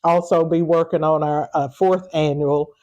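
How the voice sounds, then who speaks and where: A woman speaking off the cuff as an interview guest, pausing mid-phrase and repeating words as she goes. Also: low-pitched, fairly even intonation, dark tone, highs cut off. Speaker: interview guest, historical preservation group organizer. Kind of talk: unscripted interview talk.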